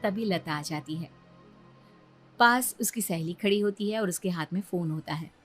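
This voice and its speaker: A woman's voice: fairly low pitch, normal speed, soft background music. A woman reading scripted story narration as a storyteller.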